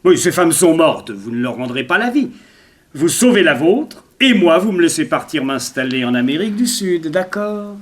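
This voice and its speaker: A suave criminal mastermind in a vintage French radio drama. His fairly high voice swoops and lilts as he gloats and presses his point with mock courtesy. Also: big pitch swings, moderate pace. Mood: bargaining. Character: smooth, gloating male villain, a blackmailing murderer. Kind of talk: 1960s French radio serial acting.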